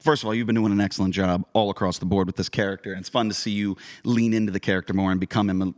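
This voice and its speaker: A man's voice: lowish voice, fairly even intonation, racing, a little mellow. A man co-hosting a podcast in casual, encouraging banter with a tongue-in-cheek manner. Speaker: podcast co-host.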